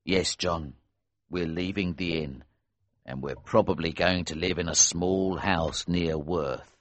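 A man voicing a gruff, fatherly older smuggler innkeeper in an audiobook, speaking in a husky, deliberate, hushed way. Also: low voice, no room sound, voice pushed down. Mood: gentle, fatherly. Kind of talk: audiobook character reading.

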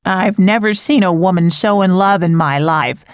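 A woman's voice: on the low side, moderate pace, smooth, no room sound, studio quality, natural voice. A woman voicing a gossipy, kindly older woman in a storybook reading, chatty in manner. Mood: fond.

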